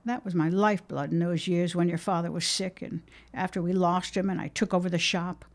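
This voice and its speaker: A woman's voice: lowish voice, fairly even intonation, brisk, natural voice. An actress playing a stubborn, sentimental middle-aged widowed mother in a period audio drama, theatrical and insistent with old-fashioned phrasing. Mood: pleading.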